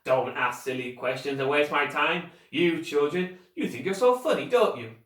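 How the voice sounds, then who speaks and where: A man's voice: on the high side, expressive, moderate pace, fairly bright tone. A man voicing a grumpy, sarcastic postman in a storybook, scornful and impatient with children. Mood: irritated, sarcastic. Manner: scornful, emphatic. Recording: roomy echo, distant microphone.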